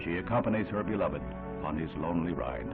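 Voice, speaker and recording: A man with an American accent narrates a 1960s newsreel in a low, declamatory voice. His delivery is solemn and dramatic. The recording is thin and band-limited, with music clearly playing behind him. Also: expressive, fast, phone-quality sound.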